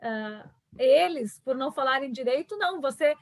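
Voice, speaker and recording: A woman's voice: high voice, animated, moderate pace, no echo, clean studio sound. Hesitant woman. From southern Brazil. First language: German. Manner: hesitant, many restarts and fillers. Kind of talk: reflective conversation.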